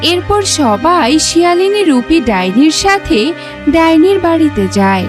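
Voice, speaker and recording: Animated, high-pitched woman storyteller. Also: a little slow. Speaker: narrator. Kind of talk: scripted children's fairy-tale narration. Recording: clear music bed behind narration.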